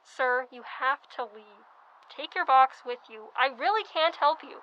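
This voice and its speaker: A woman's voice: high voice, animated, unhurried, bright, forceful tone, dull audio, heavily acted. A young woman playing a wry but firm nurse in a radio drama. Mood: firm, alarmed. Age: young adult.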